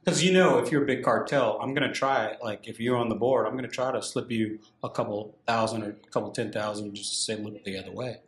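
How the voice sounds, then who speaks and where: A man hosting a podcast, an animated storyteller who repeats phrases for emphasis. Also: medium pitch, fast talker, hardly any pauses, echoey room. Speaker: podcast host, former firefighter.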